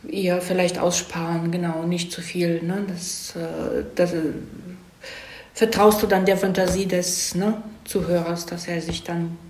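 A woman's voice: lowish voice, flat delivery, a little slow, a little mellow. A woman explaining things informally in an interview, often checking with little tag questions as she goes. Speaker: screenwriter being interviewed. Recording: roomy, echoing room sound.

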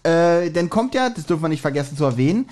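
A man's voice: fairly high pitch, lively intonation, fast, a little mellow. A man co-hosting a podcast, chatting casually and colloquially, with a joking, searching way of phrasing things. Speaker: podcast co-host. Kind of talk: casual chat, discussing an audio drama episode.

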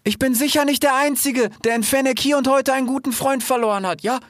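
A man's voice: very high-pitched, fast talker, a bit bright, no room sound, clean studio sound, pitched above the performer's own voice. A man voicing a small, earnest, hesitant animal in a storybook, stammering and ending sentences with questioning tags.

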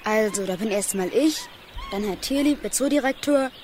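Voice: medium pitch, normal speed, darkish tone, a little hiss or hum, close to an everyday voice. An actor playing an earnest, reassuring child friend in a children's audio drama.

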